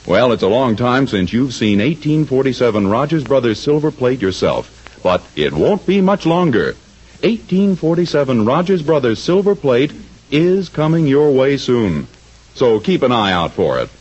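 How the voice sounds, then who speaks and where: A man's voice: mid-range voice, sing-song, moderate pace, darkish tone, husky. A man working as a radio announcer, reading a scripted 1940s advertisement in a polished, grandly salesy manner. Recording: dull vintage radio recording.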